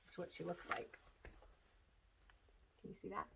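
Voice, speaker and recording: A woman's voice: a little slow, recorded from a distance, noisy background. A woman teacher reading a children's book aloud expressively, with asides to her listeners.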